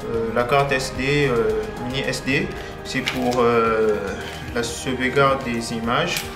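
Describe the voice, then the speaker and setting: A man with a mid-pitched voice hosts a casual product presentation in a roomy, echoing space. His phrasing is halting and full of filler sounds. Music is clearly mixed in with his voice. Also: steady tone. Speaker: video host, product reviewer.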